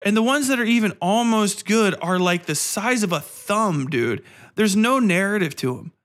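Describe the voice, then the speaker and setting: A man's voice: high voice, animated, normal speed, fairly bright tone. A man hosting a casual podcast, ranting bluntly and profanely in an exasperated, complaining way. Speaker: podcast host.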